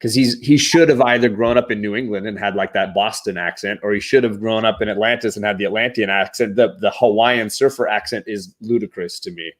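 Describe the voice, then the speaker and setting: A man's voice: fairly low pitch, brisk, hardly any pauses. A man in a casual pop-culture discussion, opinionated and nitpicking, repeating words for emphasis as a panelist. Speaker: panelist in a live comics chat.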